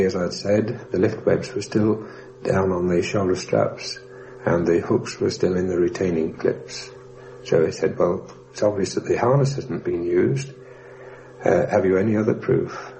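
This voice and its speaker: A man giving a measured, orderly first-person account of his time as an airman and prisoner of war for a documentary. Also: sits low, creaky, mellow, rough recording. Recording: faint hum, 1960s radio documentary recording.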